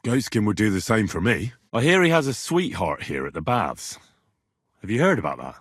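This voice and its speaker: Young male medieval adventurer: husky, dry close sound, earnest and blunt, streetwise, colloquial game dialogue. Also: lowish voice, very animated, moderate pace, no room sound. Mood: probing, persuasive. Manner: colloquial British phrasing, plain-spoken. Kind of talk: video game dialogue voice acting.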